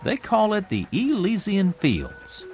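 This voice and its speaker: A swooping, high-voiced folksy gatekeeper. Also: male voice, wildly swooping pitch, darkish tone, slight background noise, full character voice. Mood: sly, genial. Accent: rural Southern American. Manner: folksy, coaxing, drawn-out phrasing. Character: folksy, wheedling male gatekeeper of a false heaven. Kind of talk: vintage television drama acting.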